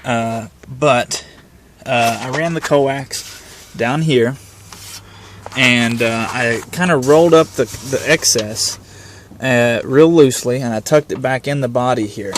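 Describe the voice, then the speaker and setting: A man's voice: medium pitch, slow pace, slight background noise. A man giving a casual, hands-on tutorial in an informal, plain-spoken way, explaining things step by step. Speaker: hobbyist demonstrating a vehicle radio installation. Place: in and around a parked pickup truck.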